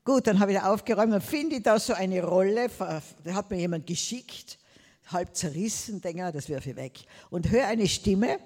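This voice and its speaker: A woman's voice: mid-range voice, lively intonation, normal speed, darkish tone, big-room sound. An older woman from Tyrol with a Tyrolean accent, preaching a sermon emphatically, drawing out words and calling out Amen and Hallelujah. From Tyrol, Austria.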